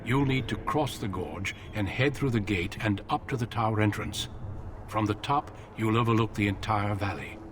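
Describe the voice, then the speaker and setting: An actor playing a grave, dutiful older warrior mentor in a fantasy video game, measured and authoritative. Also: male voice, fairly low pitch, natural voice. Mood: grave, firm. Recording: clear background noise.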